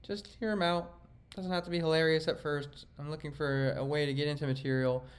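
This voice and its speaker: A man's voice: on the high side, steady tone, a little fast. Self-critical man reviewing his comedy set. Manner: self-critical and rambling, with frequent restarts. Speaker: comedian and vlogger. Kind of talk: reflective commentary reviewing his own set.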